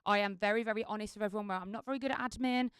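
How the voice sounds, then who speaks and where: A woman's voice: medium pitch, fairly even intonation, rapid-fire, clear, resonant tone, no room sound, clean studio sound. A woman, a wedding singer chatting on a podcast, talking chaotically with lots of fillers. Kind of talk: casual chat.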